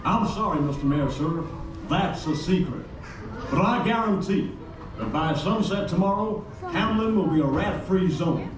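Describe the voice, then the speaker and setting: A man's voice: sits high, expressive, unhurried. A man playing a polite, earnest young musician hero in a children's puppet show, speaking deferentially. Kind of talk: children's puppet theatre acting. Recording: echoey theatre from far off, crowd.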